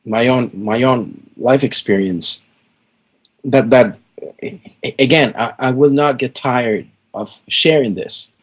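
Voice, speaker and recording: A man's voice: mid-range voice, takes it slowly. Middle-aged man, interview guest; earnest and emphatic, circles back on words, mid-range voice. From Venezuela. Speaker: guest musician. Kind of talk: heartfelt interview answers.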